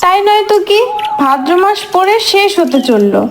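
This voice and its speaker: A very high-voiced village wife, acted by a woman, by turns nagging and coaxing, insistent that she gets her way. A faint hiss runs under her lines. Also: sing-song, normal speed, exaggerated voice. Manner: insistent, scolding, wheedling.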